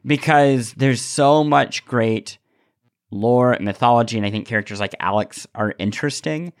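A man co-hosting a podcast in casual discussion, thinking aloud with animated enthusiasm. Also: medium pitch, normal speed. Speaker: podcast co-host.

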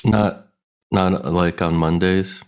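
A man's voice: sits low, fairly even intonation, slow, a little mellow, clear, resonant tone. A man co-hosting a comedy game podcast, talking in a sleepy, laid-back way. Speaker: co-host. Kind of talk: casual podcast banter. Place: home studio with guitar.

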